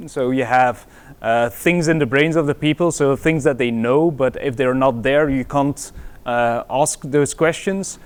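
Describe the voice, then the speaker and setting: A man's voice: medium pitch, a little slow, clean tone. A middle-aged man from Belgium with a Belgian accent, giving a conference presentation in a hesitant way with frequent fillers and restarts. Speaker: conference speaker.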